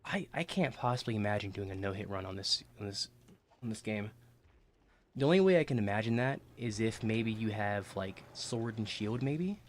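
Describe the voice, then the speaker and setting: A man streaming a video game, chatting casually and jokingly while playing, his throat getting a little rough as he's coming down with a cold. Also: on the low side, expressive, moderate pace, a little hiss or hum. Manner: joking, rambling with restarts. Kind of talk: casual gaming livestream chat.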